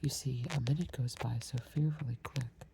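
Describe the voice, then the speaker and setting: A woman's voice: rumbling low, fairly even intonation, moderate pace, vocal fry, mellow, a little hiss or hum. A woman voicing a fussy, faint-hearted old king in a whispered ASMR storybook reading. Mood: fretful. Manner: whispered, fretful.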